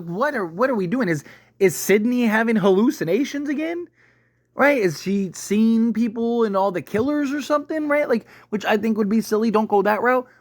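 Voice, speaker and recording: A man's voice: a little high, no room sound, clean studio sound. A man giving casual, unscripted movie commentary as a video host, rambling and often checking in with the listener by tagging his sentences with a question.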